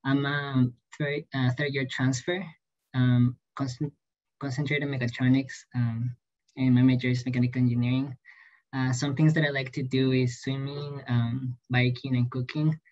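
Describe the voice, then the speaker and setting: A man's voice: mid-range voice, little pitch movement, normal speed, a little mellow. A young man originally from Peru, casually introducing himself as a student panelist on a video call. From Peru, later Los Angeles. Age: young adult. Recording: slightly dull video-call audio.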